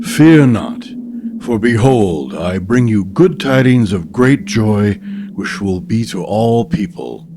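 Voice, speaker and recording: Man playing a slow, low, solemn preacher. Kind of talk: solemn declamatory reading in radio play. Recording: noisy ambience.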